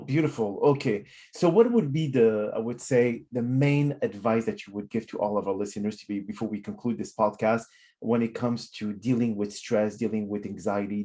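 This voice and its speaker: A man hosting a podcast, politely affirming his guest and reading a measured closing. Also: fairly low pitch, mellow. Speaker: podcast host. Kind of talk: podcast interview and scripted closing.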